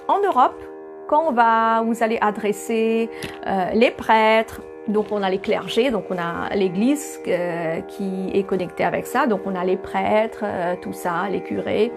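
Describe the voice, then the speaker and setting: Mauritian lady priest giving a soft humble talk, lots of pauses, music going in the background. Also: mid-range voice. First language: Bhojpuri. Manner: humble, gentle, many hesitations. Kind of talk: bilingual spiritual teaching video. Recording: music playing clearly behind her.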